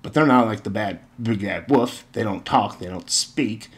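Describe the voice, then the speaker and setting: A man's voice: lowish voice, moderate pace. Man giving exasperated, informal commentary. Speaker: video commentator. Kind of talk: informal opinion commentary with story retelling.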